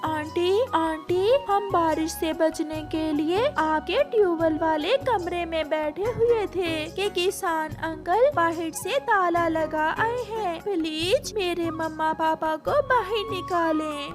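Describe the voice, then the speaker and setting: A woman's voice: extremely high pitch, slow, few pauses, voice pushed up. A woman voicing a brave, polite little boy bird in a cartoon, earnest and childlike, with music behind. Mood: eager, pleading.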